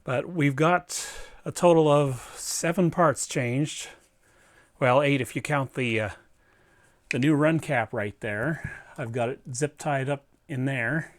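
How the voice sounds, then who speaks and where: A man with a mid-pitched voice narrates hands-on repair work in a casual way. He thinks aloud step by step and speaks directly to the viewer, as the host of a do-it-yourself electronics video. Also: slow pace.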